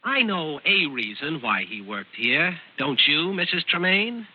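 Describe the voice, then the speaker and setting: A smug small-time blackmailer in a vintage radio thriller. His husky voice swings up and down, mock-polite and needling, with casual hesitations. The old recording is slightly dull. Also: a little high, big pitch swings, a little slow, exaggerated voice. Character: sly smug blackmailing brother, narrating his own scheme.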